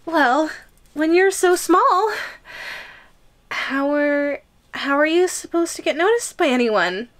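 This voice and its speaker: A woman acting out a yandere giantess schoolgirl in a roleplay audio drama. Her voice is high and girlish, and she speaks slowly with nervous, possessive hesitation and giggly stammers. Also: animated, fairly bright tone, heavily acted. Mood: giddy, lovestruck.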